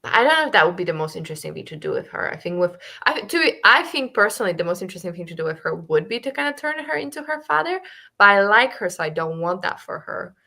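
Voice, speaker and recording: Gravelly-voiced woman chatting about books. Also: medium pitch, big pitch swings, quick pace, sharp tone. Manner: chatty, thinking aloud with many fillers. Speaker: book club co-host. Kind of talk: casual book discussion.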